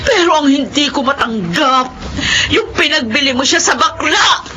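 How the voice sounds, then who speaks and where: A woman's voice: sits high, animated, normal speed, over music. A woman acting a wronged, emotional mother in a melodramatic radio drama. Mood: anguished, accusing. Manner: emotional, emphatic. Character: wronged, tearful mother confronting a betraying friend.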